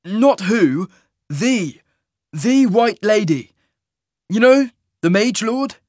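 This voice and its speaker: Narrator acting proud male fantasy envoy, raised pitch, formal, earnest, insistent, audiobook character, dry sound. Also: normal speed, fairly bright tone, dry recording. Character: earnest, proud male traveller on urgent mission.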